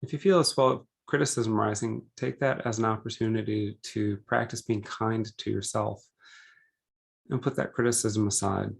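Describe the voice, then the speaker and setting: A man leading a guided meditation, speaking gently and deliberately in short phrases with pauses between them. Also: lowish voice, steady tone, creaky, breathy edge.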